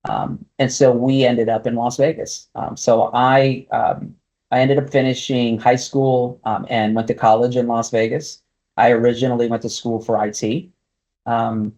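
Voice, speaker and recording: A man's voice: mid-range voice, dark tone, low-quality recording. A man in his forties, a native Spanish speaker, answering interview questions thoughtfully with many fillers and restarts. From United States (Brooklyn, New York), raised partly in Guatemala. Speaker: casino executive, guest.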